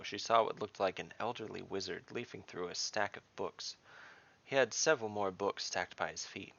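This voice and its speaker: A man's voice: deep voice, gravelly, a bit bright, no echo. A man narrating a scripted fantasy story, recorded up close with a slightly dull sound.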